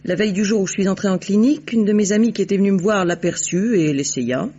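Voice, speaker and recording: An actress playing a haughty, shrewd, mercenary society woman in a vintage French radio drama, with poised, disdainful, deliberate delivery. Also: medium pitch, dark tone, lightly acted. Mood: composed, evasive. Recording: dull, noisy old radio recording.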